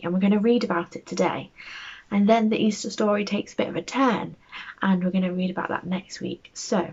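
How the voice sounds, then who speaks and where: A woman telling a bedtime story to young children, speaking gently and expressively as she reads aloud and chats to them. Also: medium pitch, gravelly, breathy edge, dull audio.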